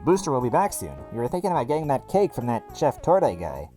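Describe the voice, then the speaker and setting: A man voicing a laid-back but excitable little masked minion who loves parties, slangy and whiny, in a story reading. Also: medium pitch, quick pace, soft background music, voice pushed up. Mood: excited, whiny.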